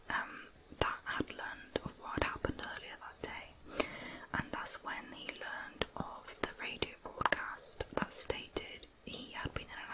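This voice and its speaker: Asmr lady whispering a true crime story, all breath no voice, hushed and measured. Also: low-quality recording.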